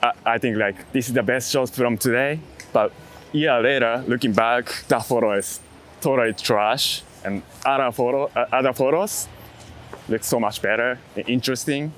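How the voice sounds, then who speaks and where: Halting man, reflective and casual. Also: medium pitch, a bit bright, a little hiss or hum. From outside the US. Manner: halting, hesitant phrasing in short fragments; non-native English phrasing. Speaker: street photographer being interviewed.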